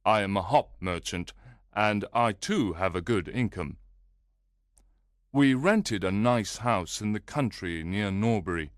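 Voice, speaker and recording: Anxious earnest visitor, read by a man, lowish and steady, dry close mic. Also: a little slow, no echo.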